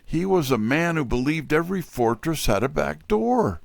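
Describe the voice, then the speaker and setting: An actor playing a wry, tough, wisecracking detective's assistant in hardboiled first-person mystery narration and dialogue. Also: male voice, fairly high pitch, big pitch swings, normal speed, vocal fry, dry recording. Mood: wry storytelling.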